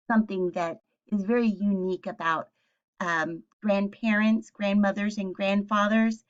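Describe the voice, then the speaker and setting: A woman teacher reading a picture book aloud and speaking to young children with simple questions and clear explanations. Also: medium pitch, dull audio.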